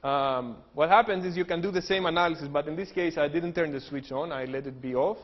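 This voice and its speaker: A man with a Greek accent walks through an engineering lecture, joking early on and explaining step by step with plenty of fillers. His voice sits somewhat high. The recording is dull and lo-fi, with the long reverb of a lecture hall. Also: brisk. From Greece. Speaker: engineering professor. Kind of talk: technical lecture with jokes.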